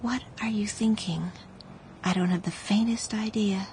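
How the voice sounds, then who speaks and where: A woman's voice: medium pitch, fairly even intonation, a little slow, darkish tone, a little hiss or hum, natural voice. An actress playing an earnest, anxious young wife and mother in a period radio drama. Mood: frustrated.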